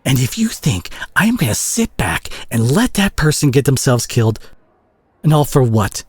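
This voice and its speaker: A man playing a protective, guilt-ridden brawler superhero in an intimate audio drama, speaking earnestly with a husky, breathy voice. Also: medium pitch, big pitch swings, dry recording. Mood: impassioned, reproachful. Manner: earnest, emotional, halting delivery with sighs. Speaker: audio roleplay voice actor.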